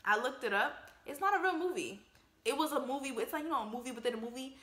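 A woman's voice: high-pitched, expressive, fast, pushed and bright, reverberant. A woman in her twenties giving casual, laughing commentary on a film, full of slangy asides, speaking into a wired earphone mic in a bare room. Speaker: YouTuber reacting to a film.

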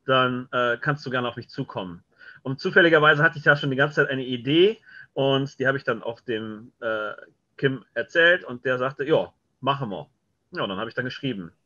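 A man's voice: mid-range voice, normal speed, bright, forceful tone. A middle-aged man telling anecdotes casually as an interview guest on a video call. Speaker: interview guest, scriptwriter and director. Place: indoor home room, video call. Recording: dull, lo-fi video call audio.

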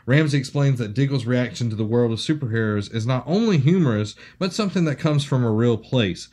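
A man's voice: mid-range voice, brisk. Bearded man reading geek news. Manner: jokey, reads articles in a steady news tone. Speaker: podcast co-host. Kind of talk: casual podcast chat, reading news aloud. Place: small studio with city-skyline backdrop.

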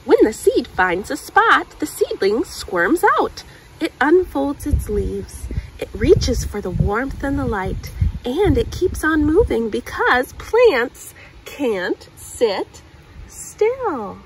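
A woman reads a picture book to children in a fairly high, very animated voice. She gives key words deliberate emphasis, like a storytime host. She is recorded outdoors with clear ambient noise around her. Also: low-quality recording. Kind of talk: read-aloud picture book narration for children. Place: outdoors on grass.

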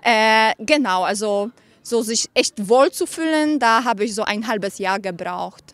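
A woman, speaking cheerfully and relaxed. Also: a little high, unhurried, no echo. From Poland.

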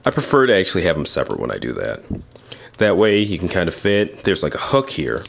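A man's voice: sits low, huge pitch swings, fast talker. A man reviewing toys in an informal, matter-of-fact way, as a casual hands-on demonstration. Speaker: toy reviewer. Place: indoors at a tabletop display. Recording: clear background noise, handling clicks.

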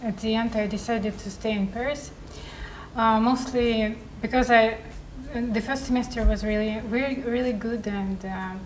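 A young woman speaks earnestly in a fairly high voice, stopping often for fillers. A faint room hum sits behind her. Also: fairly even intonation, normal speed, rough recording. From Russia. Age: young adult. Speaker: master's student. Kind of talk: testimonial interview.

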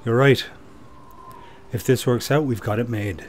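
A man's voice: mid-range voice, measured pace, darkish tone, a little hiss or hum, natural voice. A man playing a caring, cautious husband among survivors in a comic dub. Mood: affectionate. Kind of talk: amateur comic-dub voice acting.

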